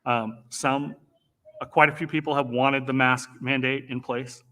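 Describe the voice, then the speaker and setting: A man chairing a school committee meeting with a bright, sharp tone. He speaks haltingly and often pauses on fillers. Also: medium pitch, level tone.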